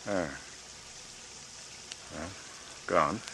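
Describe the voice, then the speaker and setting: Nervous low-voiced captain, old radio. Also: male voice, extremely slow, vocal fry, exaggerated voice. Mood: flustered. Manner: hesitant, stammering. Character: cornered, nervous sea captain pushed into complicity. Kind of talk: 1940s radio acting. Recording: noisy vintage radio drama recording.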